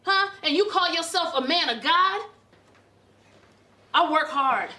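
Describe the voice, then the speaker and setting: An actress playing a domineering, confrontational preacher's wife who scolds and accuses in a screen drama. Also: female voice, sits high, lively intonation, measured pace, pushed and bright, heavily acted. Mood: angry, accusing. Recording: echoey room.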